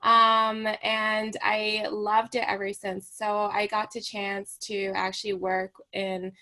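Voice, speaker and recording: A woman's voice: mid-range voice, monotone, slow, sharp tone, poor audio. Young woman from Hong Kong, recreation therapist, casual radio chat, lots of "like" and "right?". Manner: chatty, many fillers and "right?" tags. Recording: radio studio interview.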